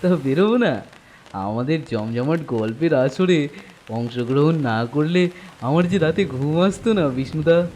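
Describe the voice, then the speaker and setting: A man's voice: fairly high pitch, lively intonation, a little slow, a little mellow. A man voicing an eager, anxious village friend in a cartoon story, chatty and deferential. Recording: rain noise behind the voice. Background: falling rain.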